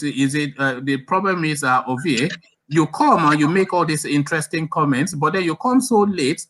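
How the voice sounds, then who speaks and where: Guy hosting a call-in show, kinda high bright voice, chatty with lots of uhs. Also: a little hiss or hum. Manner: loose and chatty, with fillers. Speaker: host. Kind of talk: hosting a live call-in discussion.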